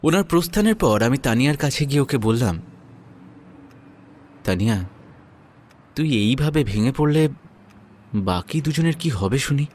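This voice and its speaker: A man narrating a first-person audio story and acting its dialogue, in a measured, earnest way. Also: medium pitch, very animated, darkish tone. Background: new-age music.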